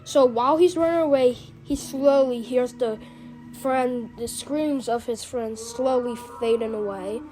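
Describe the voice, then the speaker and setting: High-pitched woman giving casual reaction commentary. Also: measured pace, mellow, faint music behind, rough recording. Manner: informal slangy, rambling recap. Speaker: host of a reaction video.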